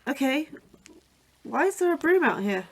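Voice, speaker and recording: An actress playing an anxious, inquisitive young scientist who keeps pestering a coworker with questions. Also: female voice, high voice, very animated, normal speed.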